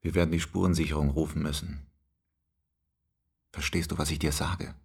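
A man's voice: flat delivery, moderate pace, darkish tone, full character voice. An actor playing a grave, insistent policeman in a radio drama, questioning with restraint. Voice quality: very deep, husky. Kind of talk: naturalistic German radio drama dialogue.

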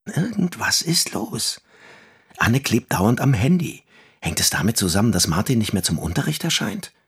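A man's voice: fairly low pitch, big pitch swings, moderate pace, slightly rough, heavily acted. A man playing a jealous, suspicious partner who sulks and needles through a questioning monologue. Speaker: actor in a radio drama. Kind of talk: dramatic first-person monologue.

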